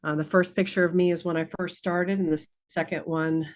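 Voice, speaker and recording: A woman's voice: fairly low pitch, fairly even intonation, a little fast. A middle-aged woman from California giving an informal presentation over a video call as a guest speaker, sounding tentative and a little nervous. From Sonoma County, California. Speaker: 911 dispatcher, guest speaker.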